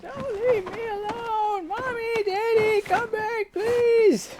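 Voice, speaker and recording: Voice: expressive, unhurried, vocal fry, heavy background noise, heavily acted. Henri, a reader voicing a dying girl. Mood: delirious pleading. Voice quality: high, tearful, calling out. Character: dying young woman, delirious, childlike and pleading. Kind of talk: audiobook character voice.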